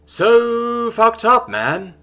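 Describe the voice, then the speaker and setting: Dude doing a stoner bro robot nurse, super high, husky, all over the place. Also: male voice, sing-song, drawn-out words, bright, forceful tone, soft background music, heavily acted. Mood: chill bro. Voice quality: laid-back surfer slang. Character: cheerful bro-talking robot male nurse android.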